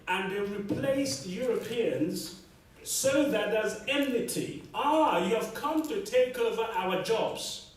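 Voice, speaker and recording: High-voiced man in an echoey hall. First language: Yoruba. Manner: emphatic, repeats key phrases, asks the room for agreement. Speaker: debate panelist. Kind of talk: impassioned public debate talk. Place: large echoey lecture hall. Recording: echoey hall from far off, faint noise.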